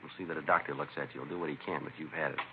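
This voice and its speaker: An actor playing a stern, matter-of-fact police detective in a 1950s radio drama. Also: male voice, extremely low pitch, steady tone, racing. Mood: firm, flat. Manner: terse, matter-of-fact, authoritative. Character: stern, clipped, no-nonsense male police detective. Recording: muffled, noisy vintage radio recording.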